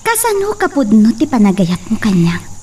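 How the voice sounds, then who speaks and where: High-pitched heartbroken young woman, played by an actress, bitter, emotional, drawn-out, distant mic, faint insects. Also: huge pitch swings, a little mellow, slight background noise. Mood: bitter challenge. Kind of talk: Ilocano romantic radio-style drama acting.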